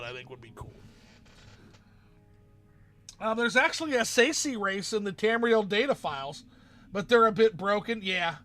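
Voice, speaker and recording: A man's voice: high-pitched, sharp tone. A man hosting a casual game livestream, rambling as he reads out viewer chat and answers it. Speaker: streamer host.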